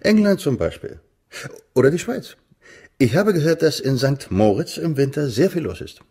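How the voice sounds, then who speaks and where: An actor playing a dry, reluctant financial adviser in a German radio play, gravelly, reserved and matter-of-fact. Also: male voice, on the high side, expressive, moderate pace, mellow. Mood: urging.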